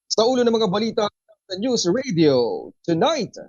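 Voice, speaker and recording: A man's voice: high voice, very animated, moderate pace, a little mellow, slightly rough, muffled recording. A man, co-anchoring a radio newscast, formal and tagging lines with "no?". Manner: formal, says "no?" often. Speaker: news co-anchor. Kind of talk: radio news sign-off.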